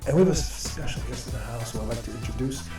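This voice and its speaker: A man hosting a podcast, from Jamaica, talking in a hyped, casual way and shouting out guests and sponsors. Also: mid-range voice, rapid-fire, echoey room, recorded from a distance, over music. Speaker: podcast host.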